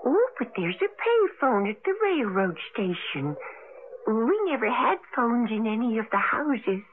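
A woman's voice: on the high side, very animated, a little slow. An actress playing a quaint, genteel, lonely older small-town woman in a radio drama, courteous and given to old-fashioned exclamations. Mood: wistful. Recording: tinny, noisy 1980 radio broadcast.

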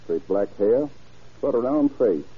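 An actor playing a careful, factual bank official in a vintage radio crime drama, listing details deliberately. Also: male voice, medium pitch, lively intonation, slightly rough. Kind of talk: 1940s-50s radio crime drama acting. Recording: dull vintage radio recording.

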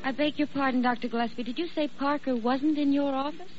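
A woman's voice: high-pitched, fairly even intonation, quick pace, over loud music, close to an everyday voice. Sensible hospital receptionist played by a woman, muffled recording. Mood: polite. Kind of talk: old-time radio drama acting. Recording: muffled, noisy vintage radio recording.

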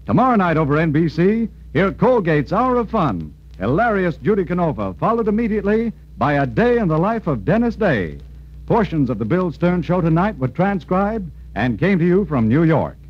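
1940s American male announcer, high and very animated, selling in scripted radio style, muffled vintage sound. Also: moderate pace. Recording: dull vintage radio recording.

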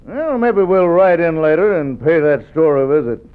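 A cold, ruthless bandit chieftain, played by a man, with a gruff, threatening drawl. His voice sits a little high. The sound is thin and tinny, like an old radio over a phone line. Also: lively intonation, moderate pace, lightly acted. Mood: menacing.

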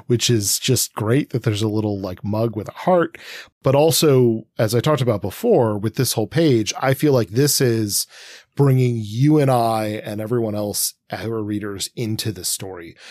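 A man's voice: mid-range voice, a little mellow, dry recording. A middle-aged man co-hosting a podcast with casual, analytical commentary, wandering into asides as he builds his point. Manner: discursive, self-interrupting asides. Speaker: podcast co-host.

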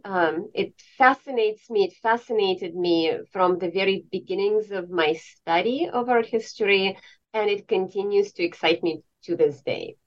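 A woman's voice: medium pitch, moderate pace, lo-fi sound. A woman from Estonia, an art historian, answering interview questions eagerly with frequent ums.

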